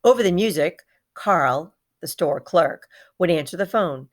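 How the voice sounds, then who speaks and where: Woman reading as a proud little boy hamster telling his storybook tale, dramatic, lowish voice. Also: animated, unhurried. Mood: wistful, eager. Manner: dramatic, repeats words for emphasis. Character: small male pet hamster, bright, proud, heartbroken storyteller. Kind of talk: children's storybook read-aloud in first person.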